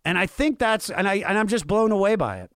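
A man's voice: sits high, very animated, fairly quick, heavy background noise. A man hosting a casual music podcast, talking informally and with enthusiasm. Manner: informal, enthusiastic, uses slang and swearing. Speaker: podcast host.

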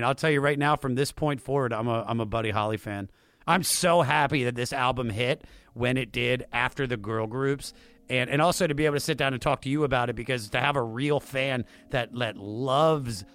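A man's voice: medium pitch, a bit bright, no echo, clean studio sound. An American man hosting a casual music talk show, enthusiastic and emphatic. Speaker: podcast host.

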